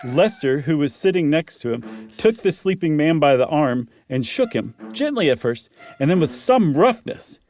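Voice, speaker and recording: A man's voice: fairly high pitch, big pitch swings, normal speed, a little mellow, faint music behind. A man, a podcast co-host, narrating a story dramatically with casual asides.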